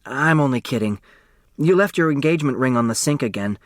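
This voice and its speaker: A man voicing a brash, sharp-tongued little sister in an audiobook, blunt and combative. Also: mid-range voice, lively intonation, brisk, no room sound, studio quality, pitched above the performer's own voice, natural voice. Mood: cocky, needling.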